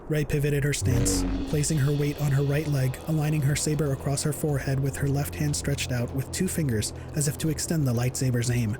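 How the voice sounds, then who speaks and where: A man's voice: medium pitch, fairly even intonation, fairly quick, few pauses, background noise. A man delivering dramatic scripted narration as the narrator of an audio drama. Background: dramatic score.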